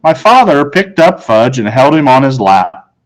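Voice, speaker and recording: A man's voice: medium pitch, very animated, moderate pace, poor audio. A man reading a children's novel aloud as a teacher, narrating the story.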